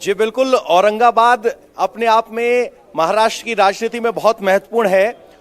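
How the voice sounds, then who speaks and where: A man's voice: high voice, normal speed. A man reporting live from the field for TV news and putting questions to people.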